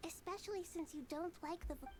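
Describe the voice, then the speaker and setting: A woman voicing a kind, earnest, gentle young female character in a video game. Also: very high-pitched, fast talker. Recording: noisy game audio under livestream.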